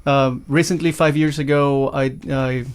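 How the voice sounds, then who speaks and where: Halting Venezuelan-born male violinist. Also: mid-range voice, fairly even intonation, clear, resonant tone. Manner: halting, many fillers and restarts. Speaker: guest violinist. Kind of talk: casual interview chat.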